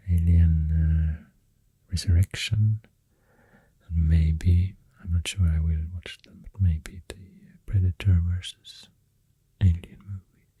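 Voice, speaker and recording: A person with a Swedish accent speaking softly in ASMR style, mostly whispering, in a hushed, unhurried way with hesitant pauses, close to the microphone. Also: deep voice, husky, no echo. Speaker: ASMR video host. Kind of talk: soft-spoken ASMR, rambling.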